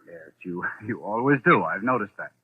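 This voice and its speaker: An actor playing an easygoing, wry author with a dry, teasing manner in a 1940s radio drama. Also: male voice, fairly low pitch, lively intonation, moderate pace, lightly acted. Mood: wry, relaxed. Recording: tinny, dry old radio recording.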